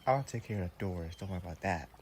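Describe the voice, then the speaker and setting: A man's voice: sits low, fast, vocal fry, a little mellow, slight background noise. A man acting as a possessive, romantic vampire boyfriend in an intimate audio roleplay, tender and teasing as he talks to the listener.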